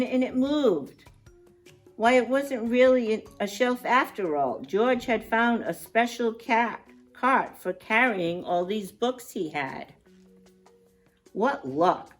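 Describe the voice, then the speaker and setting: A woman's voice: medium pitch, slow, faint music behind. Woman reading a children's story, leisurely pace. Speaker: children's storyteller reading a picture book aloud. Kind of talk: read-aloud storytime with chatty asides to young listeners.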